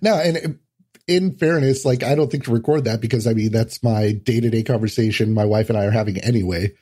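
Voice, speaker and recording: A man's voice: medium pitch, brisk, mellow, breathy edge. A man speaking as a podcast guest in offhand, casual chat with some swearing.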